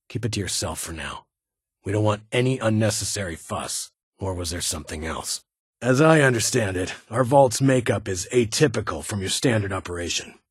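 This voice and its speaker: An actor playing a weary, formal middle-aged military security chief in a video game, speaking in a restrained, dutiful way. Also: male voice, low voice, breathy edge, no echo, studio quality, heavily acted. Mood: subdued, guarded, then formal, explanatory. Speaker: vault chief of security, former army science advisor. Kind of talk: video game character dialogue.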